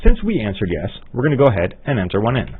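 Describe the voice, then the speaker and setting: A man giving methodical, instructional narration for a scripted step-by-step software tutorial. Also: lowish voice, huge pitch swings, racing, husky. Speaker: software trainer. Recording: muffled, phone-like narrow-band audio.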